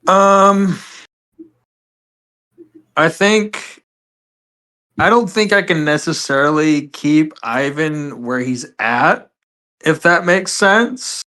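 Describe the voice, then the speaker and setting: A woman's voice: sits low, very slow, long pauses, fairly bright tone. A woman talking casually and a little tentatively as a player in a tabletop role-playing game.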